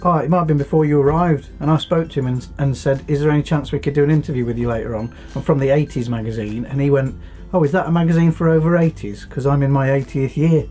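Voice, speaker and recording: A man's voice: fairly high pitch, fairly even intonation, quick pace, a little mellow, soft background music. A middle-aged man with a British accent, co-hosting a casual nostalgic chat. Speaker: co-host.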